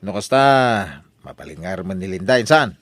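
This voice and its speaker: Man acting as advising relative, lowish voice, animated intonation, expressive delivery, cautious, comedy radio drama. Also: normal speed, dry recording. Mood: curious.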